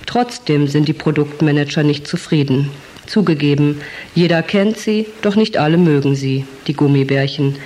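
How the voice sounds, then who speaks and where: A man with a fairly high voice narrates from a script. His delivery is mock-serious, suited to a radio collage. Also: normal speed, a little mellow.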